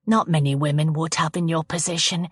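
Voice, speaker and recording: A woman voicing a calm, caring female police detective who speaks in a gentle, soothing way. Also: low voice, fairly quick, husky, dry recording, clean studio sound.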